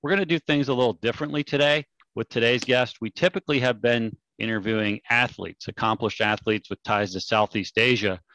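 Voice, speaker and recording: A man's voice: medium pitch, brisk, few pauses, fairly bright tone, dry recording, poor audio. A man in his forties from California, hosting a podcast and explaining things in a casual, conversational way. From California, United States. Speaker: podcast host.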